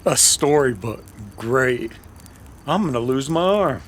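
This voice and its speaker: A man's voice: mid-range voice, animated, takes it slowly, slight background noise. A man playing a wounded, grumbling, dry-witted cowboy in an old-time western radio drama, sounding exasperated and sardonic.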